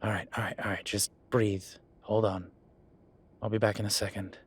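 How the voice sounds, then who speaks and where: Husky, sarcastic merman shop owner, played by a man. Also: fairly low pitch, little pitch movement. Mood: soft, soothing. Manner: halting, flippant, conversational. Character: gruff, sarcastic merman tattoo shop owner with a protective streak. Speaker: voice actor in an audio roleplay. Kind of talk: naturalistic one-sided audio roleplay.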